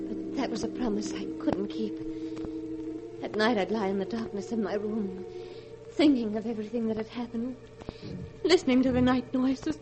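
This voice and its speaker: Actress as a high-voiced fearful young heiress. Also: female voice, steady tone, normal speed, music in the background, natural voice. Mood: haunted narration. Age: young adult. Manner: earnest, tremulous, melodramatic. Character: fearful young heiress haunted by family madness. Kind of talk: 1940s radio horror acting. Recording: noisy 1940s radio recording.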